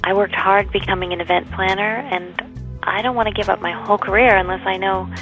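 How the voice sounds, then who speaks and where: A woman's voice: mid-range voice, steady tone, brisk, fairly bright tone, muffled recording, faint music behind, lightly acted. An actress playing an earnest, pressing live-in girlfriend in an audio soap opera. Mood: earnest, pleading. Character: earnest, probing live-in girlfriend, career-minded.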